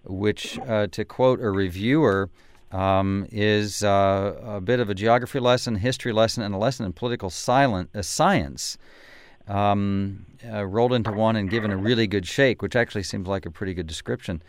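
A man's voice: fairly low pitch, normal speed, clear, resonant tone. An American man hosting a radio interview, hesitant and full of fillers as he corrects himself. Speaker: radio host.

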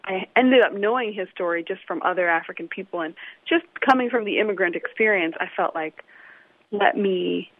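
A woman's voice: medium pitch, a little fast, no room sound. Young woman on a phone line. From Illinois, United States. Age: young adult. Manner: thoughtful, hesitant with many ums. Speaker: musician guest. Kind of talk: phone interview. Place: New York City.

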